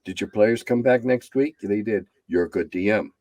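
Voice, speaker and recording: A man in his seventies, a game designer chatting bluntly and ramblingly as an interview guest. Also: moderate pace. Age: 70s. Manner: blunt, rambling, drops low and quiet as he winds down. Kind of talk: casual interview chat.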